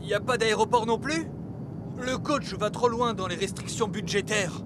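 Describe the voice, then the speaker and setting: An actor playing a grumbling, wisecracking young football player in a French-dubbed cartoon, slangy and exasperated. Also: male voice, sits high, moderate pace, heavy background noise, lightly acted.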